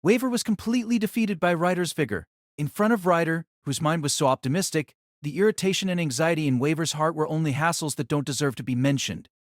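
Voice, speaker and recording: A man's voice: fairly high pitch, fast talker, no echo, clean studio sound. A man reading dramatic scripted story narration for an audio drama.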